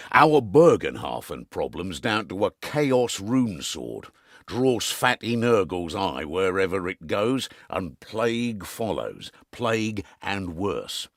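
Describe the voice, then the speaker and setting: A man playing a gruff, wry mercenary quartermaster in a video game, briefing his fighters with earthy, commanding storytelling and dark humour. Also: on the low side, dry recording, studio quality, full character voice. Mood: grim, brisk.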